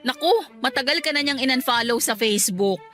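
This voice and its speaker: A woman's voice: high voice, fairly quick, sharp tone, soft background music. A woman playing a playful but unsure working woman in a conversational radio drama scene. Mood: teasing. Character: hesitant working woman, playful but worried about spending.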